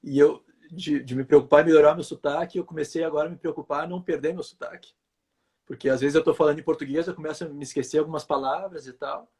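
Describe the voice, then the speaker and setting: Man from Brazil, husky voice, physiotherapist, hesitant, repeats words, explains at length. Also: fairly high pitch, fairly even intonation, fast talker, darkish tone. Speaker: physiotherapist guest. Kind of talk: conversational interview answer.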